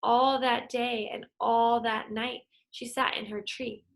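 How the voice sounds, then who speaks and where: A woman's voice: fairly high pitch, level tone, takes it slowly, gravelly. A young woman teacher reading a picture book aloud to children, upbeat and encouraging, explaining words as she goes.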